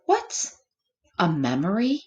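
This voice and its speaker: Give us a curious little storybook boy, performed by a woman, swooping up high at the start of a question and dropping gently, without any rush. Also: huge pitch swings, slow, breathy edge, highs cut off, full character voice.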